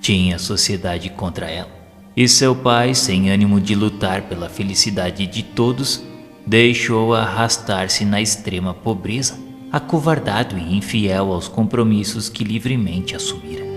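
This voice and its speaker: A man's voice: lowish voice, a little slow. A Brazilian man reading a literary audio novel as narrator, with formal, solemn diction. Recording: long reverb, prominent background music. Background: music.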